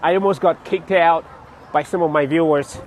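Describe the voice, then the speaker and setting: A young man from Cameroon chatting casually to his vlog viewers outdoors on a city street, laughing often and pausing with fillers. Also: fairly high pitch, fairly even intonation. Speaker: vlogger. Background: faint street traffic.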